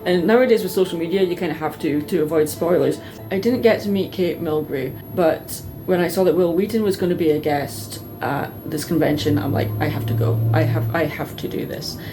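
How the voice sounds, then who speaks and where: A woman telling personal stories to her viewers in a casual, chatty, expressive way, like an enthusiastic fan. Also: sits low, brisk, over music, poor audio. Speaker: vlogger.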